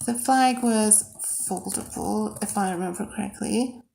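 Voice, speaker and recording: A woman's voice: medium pitch, measured pace, gravelly, warm tone, a little hiss or hum, rough recording. A woman from Iran, a native Persian speaker with a Persian accent, chatting casually to viewers and thinking aloud as she codes on a livestream. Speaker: livestreamer and game modder. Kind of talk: casual livestream chat while coding.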